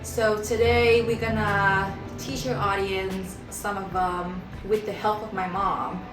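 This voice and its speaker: A young woman hosting a casual home chat show, talking in a bubbly, excitable way. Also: medium pitch, takes it slowly. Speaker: host. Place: home room. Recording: echoey room, mic far off, music behind.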